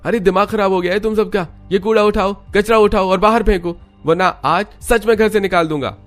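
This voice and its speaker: A man voicing a furious, scolding village husband in a cartoon, shouting with contempt. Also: high voice, fairly quick, faint music behind, voice pushed up, lightly acted.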